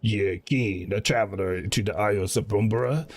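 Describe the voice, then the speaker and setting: A man voicing a gruff, grim dockside local from a fantasy game, with blunt rustic phrasing. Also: on the low side, moderate pace. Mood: grim, scornful.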